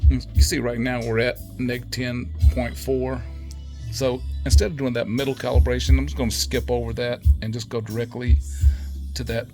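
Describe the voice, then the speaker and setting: A man presents a casual technical demonstration in a fry-edged voice. He is methodical and matter-of-fact, with hesitant pauses as he works through each step. Music is clearly audible behind him. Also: mid-range voice, level tone. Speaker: presenter demonstrating equipment calibration. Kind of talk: casual hands-on technical tutorial.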